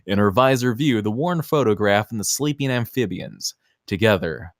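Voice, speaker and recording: Animated male narrator reading screenplay directions. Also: fairly low pitch, normal speed, no room sound, studio quality. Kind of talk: scripted narration at screenplay table read.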